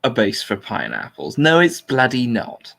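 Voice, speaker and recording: A man's voice: medium pitch, wildly swooping pitch, a little slow, vocal fry, fairly bright tone. A man hosting a casual livestream chat in a blunt, jokey way. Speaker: livestream host.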